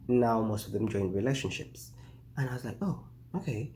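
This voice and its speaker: A man's voice: medium pitch, moderate pace, mellow, slight background noise. A man, chatting casually to camera in a rambling way, full of fillers and restarts. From Uganda. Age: 20s. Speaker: vlogger. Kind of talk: casual talking-head vlog.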